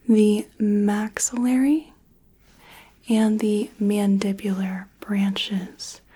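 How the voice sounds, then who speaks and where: A woman whispering softly as an ASMR roleplay clinician, giving gentle step-by-step instructions. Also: mid-range voice, slightly rough. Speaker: ASMR creator playing an examining clinician.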